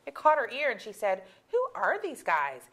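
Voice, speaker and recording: Woman playing frazzled middle-aged mother, fairly high voice, very lively intonation, wry, candid, stage comedy. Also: normal speed. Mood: chatty, amused. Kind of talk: staged play reading, conversational comedy. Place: auditorium stage.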